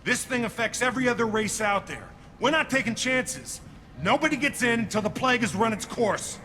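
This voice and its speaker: A man's voice: extremely high pitch, animated, a little fast, sharp tone, slight background noise, full character voice. An actor playing a surly male checkpoint guard who brushes people off. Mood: dismissive. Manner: gruff, dismissive.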